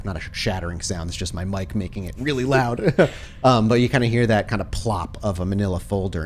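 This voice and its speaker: A man's voice: sits low, slight background noise. A man working as game master, narrating a tabletop game in dramatic, cinematic scene-setting descriptions.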